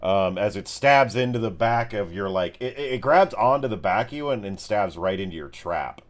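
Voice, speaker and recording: Guy game mastering, low voice, describes the action, chats casually and swears a bit. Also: animated, moderate pace. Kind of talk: improvised tabletop game narration with casual chat.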